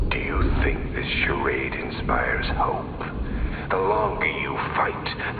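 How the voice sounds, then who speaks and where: Sinister video game villain, rock-bottom pitch, hushed breathy edge, cold intellect, theatrical monologue, echoing narrow-band sound. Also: male voice, exaggerated voice. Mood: menacing, gloating. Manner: slow, deliberate, sinister, grandiose speechifying. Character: menacing male supervillain, coldly intellectual master of fear. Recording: echoey, band-limited radio-like sound, loud music. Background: loud music.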